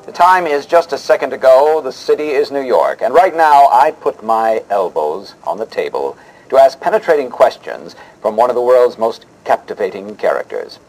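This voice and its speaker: A man's voice: medium pitch, very animated, darkish tone, faint music behind, poor audio. A man playing a pompous, easily flustered host in a parody of a vintage television interview show, with a mock-dramatic delivery. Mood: grand, announcing. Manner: mock-dramatic announcer delivery, halting and hesitant when questioning.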